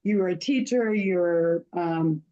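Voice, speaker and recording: A woman with a hoarse voice hosting an online show, chatty and casual, often losing her place and starting over. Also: lowish voice, slow pace, dark tone, dull audio. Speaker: host.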